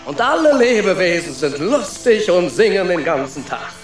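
A man's voice: high-pitched, big pitch swings, moderate pace, reverberant, faint music behind. A man playing a boastful, carefree travelling rat in a children's radio play, with an Italian accent and theatrical storytelling in broken syntax. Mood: dreamy, enthusiastic.

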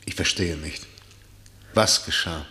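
Radio drama: senior state prosecutor, male, deep-voiced, slow, formal, reserved, probing with hesitation. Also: sharp tone, exaggerated voice. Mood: restrained probing. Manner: formal, measured, hesitant questioning. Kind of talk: German radio play acting.

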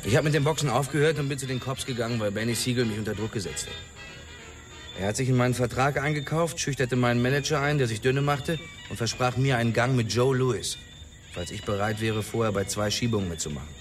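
Tough cocky ex-boxer cop acted by a man, mid-pitched, blunt streetwise radio drama dialogue, slightly distant, music behind. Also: brisk, lightly acted. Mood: dry, matter-of-fact.

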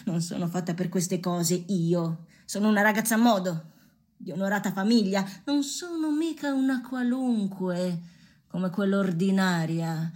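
An actress playing a prim, haughty young nurse, snobbish and defiant, in an Italian radio drama. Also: female voice, fairly low pitch.

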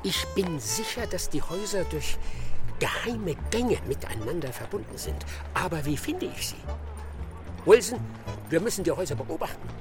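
An actor playing a self-assured, theatrical master detective in a radio play, declaiming pompously and excitably with little care for others. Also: male voice, fairly high pitch, normal speed, music in the background. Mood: brooding. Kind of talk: German radio play acting.

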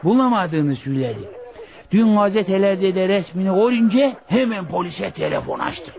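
A man's voice: sits high, lively intonation, normal speed, vocal fry, darkish tone. An actor playing a folksy, gossipy village headman in a radio play, telling things in a rambling, hesitant way. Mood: chatty, recounting. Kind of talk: Turkish radio theatre acting.

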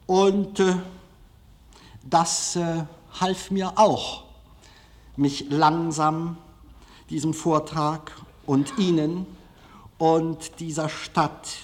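A man giving a live public lecture in a hall, hesitant, halting and self-deprecating as he speaks. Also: a little high, fairly even intonation. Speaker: film director giving a lecture. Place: large formal auditorium, indoors.

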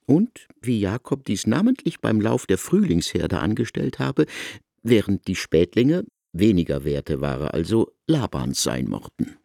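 A wry, learned man telling a literary tale for radio. His low voice moves with lively swings of pitch, recorded close and dry. He speaks with deliberate emphasis. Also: creaky, dry recording, exaggerated voice. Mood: expressive. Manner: expressive, emphatic, deliberate storytelling. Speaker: narrator. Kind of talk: literary radio drama narration.

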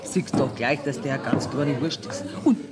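An actress playing an earthy, bossy, self-assured woman banker with a Bavarian accent in a radio play, brisk and mocking. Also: a bass voice. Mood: bossy, confiding. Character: brash, self-assured woman banker holding court among tavern customers. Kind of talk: radio play acting in dialect. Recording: 1979 radio play, busy crowd behind.

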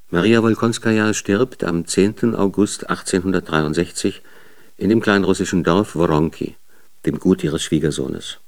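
A man's voice: low voice, a little mellow. A man narrating a scripted German radio drama in a sober, documentary manner.

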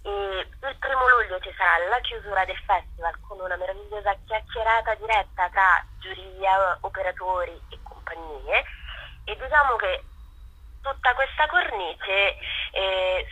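A woman's voice: medium pitch, pushed and bright, slightly rough, rough recording. A woman giving spontaneous, explanatory answers in an interview, speaking with enthusiasm as an organiser. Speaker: festival organiser being interviewed.

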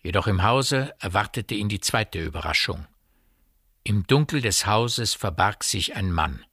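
Narrator: precise and measured storyteller, lowish bright voice, scripted radio drama. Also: male voice, lively intonation, husky, dry recording, studio quality, exaggerated voice. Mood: measured, suspenseful. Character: measured storyteller of a tense village crime story.

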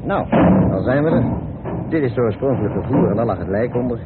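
A dry, sardonic male sleuth played by an actor, grumbling and sceptical in a medium-pitched voice. It is an old radio drama recording, tinny with obvious noise. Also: expressive, fairly quick, close to an everyday voice. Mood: reasoning. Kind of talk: Dutch radio drama acting.

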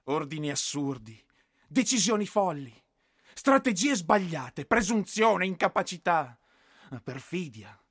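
An actor playing a frightened, exhausted and bitter infantry soldier in a theatrical, emotional radio drama style. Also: male voice, high-pitched, very animated, unhurried, a bit bright, husky, full character voice. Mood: bitter, indignant.

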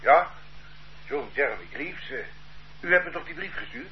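Easygoing older man, chatty and homely, lively voice, muffled radio play sound. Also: on the high side, normal speed. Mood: bewildered. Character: older male street-corner idler, easygoing and bewildered. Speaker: suspect. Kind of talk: radio drama acting. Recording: dull lo-fi radio play recording, faint hiss.

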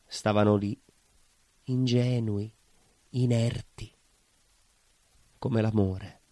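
A man's voice: fairly low pitch, a little mellow, no echo. A man playing an imprisoned baker, bitter, lovelorn and simmering with rage, in a theatrical monologue with a Calabrian southern Italian accent and short, repeated phrases. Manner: short broken phrases, insistent repetition. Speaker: stage actor in a monologue.

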